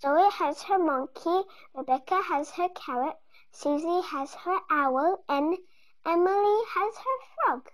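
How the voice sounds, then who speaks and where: A woman reading a children's picture book aloud, with deliberate, word-by-word phrasing. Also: very high-pitched, lively intonation. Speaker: storybook reader. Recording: dull lo-fi home recording.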